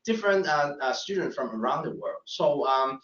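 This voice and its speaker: A middle-aged man, a professor giving a live admissions webinar, speaking haltingly with many fillers and restarts. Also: mid-range voice, animated, fairly bright tone. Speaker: professor presenting admissions talk. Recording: echoey room, distant mic, dull sound.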